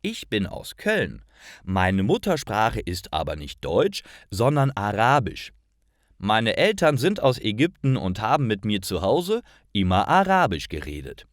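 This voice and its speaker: A man voicing a calm, matter-of-fact young woman from Cologne. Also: fairly low pitch, a little slow, no room sound, studio quality.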